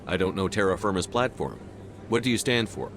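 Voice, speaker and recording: An actor playing a stern, level-headed military commander in a science fiction game, speaking directly and with measured authority. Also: male voice, low-pitched, lively intonation, a bit bright, slight background noise. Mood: questioning. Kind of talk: video game dramatic dialogue. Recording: music behind the voice.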